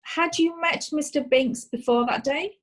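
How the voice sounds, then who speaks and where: A woman playing a brisk, formal lawyer questioning witnesses in a comic courtroom play. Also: high-pitched. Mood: formal, probing. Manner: formal, inquisitive.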